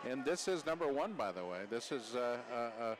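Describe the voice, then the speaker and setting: An American man hosting a live sports radio broadcast, speaking in halting, pause-filled phrases. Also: medium pitch, big pitch swings. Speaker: radio host and play-by-play broadcaster. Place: indoor sports arena. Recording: noisy arena ambience.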